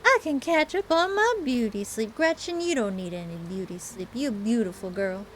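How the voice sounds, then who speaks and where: A woman's voice: a little high, expressive, normal speed, a bit bright, slight background noise. A woman voicing a friendly, worried young woman in a horror game, in a conversational way. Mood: doting.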